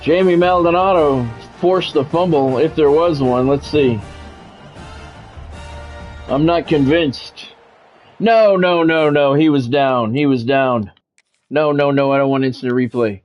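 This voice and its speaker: A man's voice: a little high, unhurried, a little mellow, soft background music. A middle-aged man doing sports video game play-by-play with blunt, sweary, exasperated reactions. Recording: dull lo-fi audio, faint background noise.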